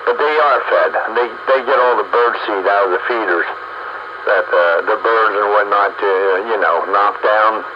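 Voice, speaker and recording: A man's voice: on the low side, moderate pace. A middle-aged or older man chatting casually in a folksy, teasing way over two-way radio. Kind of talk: casual chat. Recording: muffled, noisy two-way radio transmission.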